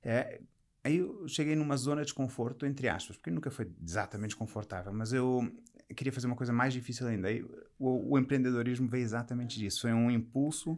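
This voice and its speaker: A man raised in Portugal, with a Portuguese accent softened by years in Brazil, talks reflectively as a podcast guest, explaining and rephrasing as he goes. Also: medium pitch, a little fast. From Portugal, raised there from age seven. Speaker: podcast guest, business executive. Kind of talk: conversational interview, personal career account.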